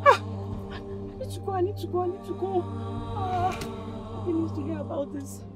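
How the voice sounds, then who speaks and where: A woman's voice: very high-pitched, normal speed, over loud music. A woman playing an alarmed village woman who exclaims and pleads.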